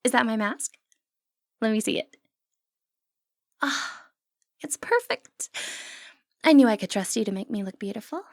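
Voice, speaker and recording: Woman acting a flirtatious high-voiced lady thief. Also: lively intonation, measured pace, pauses often, no echo, studio quality. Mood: playful, flirtatious. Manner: playful, teasing, genteel old-fashioned phrasing. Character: flirtatious, confident lady thief of noble upbringing. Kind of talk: ASMR fantasy romance roleplay acting. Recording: background music under voice.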